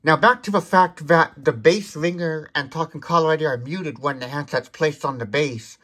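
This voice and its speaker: Detailed tech demonstrator with a mid-pitched voice. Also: normal speed, fairly bright tone. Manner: detailed, explanatory. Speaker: product demonstrator.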